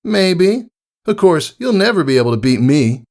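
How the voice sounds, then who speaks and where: A man's voice: high voice, big pitch swings, moderate pace, darkish tone, pitched above the performer's own voice. A man voicing a brash, cocky female pegasus pony mentor in a storybook reading, with a casual, boastful way of talking. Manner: boastful, casual, hesitant small talk.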